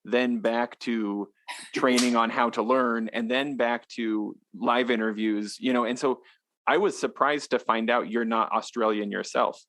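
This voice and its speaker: A man's voice: medium pitch, fairly even intonation, unhurried, fairly bright tone, a little hiss or hum. A man from the western United States hosting a podcast, talking in a friendly, chatty way. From western United States (Utah). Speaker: podcast host. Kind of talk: casual interview chat. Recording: dry close sound.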